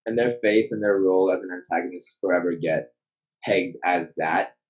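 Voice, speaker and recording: Guy hosting an interview, lowish voice, rambly questions, kinda muffled audio. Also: little pitch movement. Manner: asks long rambling questions, quick agreeing backchannels. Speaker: interview host. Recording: dull lo-fi sound.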